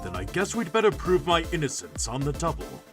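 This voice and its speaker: Man acting resolute detective with lively pitch. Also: a little fast. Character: calm, resolute male detective, a father condemned to death. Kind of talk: game fandub acting. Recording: voice under prominent music.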